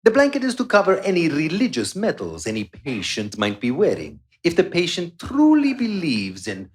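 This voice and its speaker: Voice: medium pitch, huge pitch swings, normal speed, a little hiss or hum. An actor playing a greedy, centuries-old vampire doctor in a live radio play, with theatrical, gloating menace. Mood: gloating, menacing.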